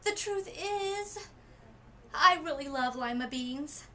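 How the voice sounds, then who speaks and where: An emphatic young storybook girl, acted out by a woman reader, stubborn and exclaiming. The voice jumps high and loud, with some noise behind it. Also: lively intonation, slow pace, far from the mic, full character voice. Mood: urgent, confessing.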